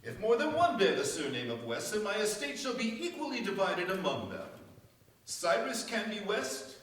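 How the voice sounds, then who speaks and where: A formal, authoritative family lawyer in a radio play, acted by a man. The voice is high and animated yet official, heard from a distance in a very echoey space. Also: moderate pace. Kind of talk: staged radio play acting. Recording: echoey stage, distant mic, faint hiss.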